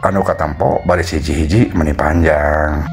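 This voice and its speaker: A man's voice: rumbling low, steady tone, moderate pace. A man with a Sundanese accent narrating a folktale as its storyteller. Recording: music behind the voice.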